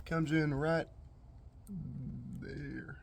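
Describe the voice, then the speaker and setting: A man's voice: fairly high pitch, steady tone, extremely slow, vocal fry, a little hiss or hum. A man giving a casual, unscripted hands-on DIY walkthrough, thinking aloud as he goes. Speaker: DIY vlogger. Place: in and around a pickup truck.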